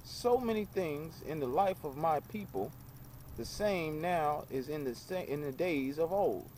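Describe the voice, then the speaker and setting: A man reading the part of a kindly, earnest Syrian guest in a storybook, explaining things gently. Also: fairly high pitch, lively intonation, a little slow, a little hiss or hum, voice pushed up, rough recording.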